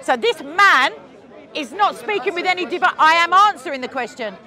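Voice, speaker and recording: A woman's voice: very high-pitched, animated, sharp tone. Insistent English woman debating outdoors. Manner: insistent, quick interrupting questions. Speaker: street debater. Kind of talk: heated street debate. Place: outdoor park among a crowd. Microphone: small clip mic on top. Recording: outdoor crowd chatter behind.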